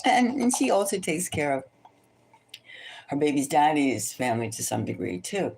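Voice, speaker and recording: A woman's voice: low-pitched, very animated, moderate pace, creaky, a little mellow. A woman tarot reader chatting casually and thinking aloud, gossipy and opinionated, often rambling and repeating her phrases. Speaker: tarot reader hosting a celebrity psychic reading.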